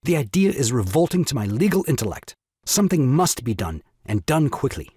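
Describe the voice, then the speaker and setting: Indignant scheming young father, rhetorical, very animated, husky edge, audio drama farce. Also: mid-range voice, fairly quick, no echo, studio quality. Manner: pompous, rhetorical, emphatic.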